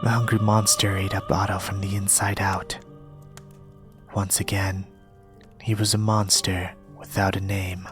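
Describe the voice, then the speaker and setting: A young man reading a storybook in a soft-spoken, partly whispered ASMR style, with a gentle, measured delivery. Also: sits low, level tone. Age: young adult. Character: polite soft-spoken young male reader, quietly eerie. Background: soft music.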